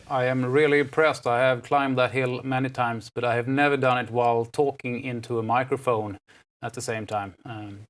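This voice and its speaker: Man hosting from a studio. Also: mid-range voice. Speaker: studio host. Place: indoor studio.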